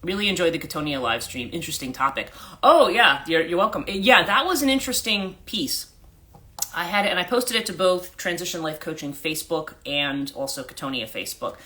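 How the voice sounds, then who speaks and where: A woman chats casually to her livestream viewers, greeting them as they join. Her voice is husky and congested from allergies, with a bright, forward edge that cuts through. She rambles gently with many fillers and keeps clearing her throat. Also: lively intonation, a little fast. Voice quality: husky, a register lower than usual. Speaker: life coach hosting a livestream. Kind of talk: casual morning livestream chat.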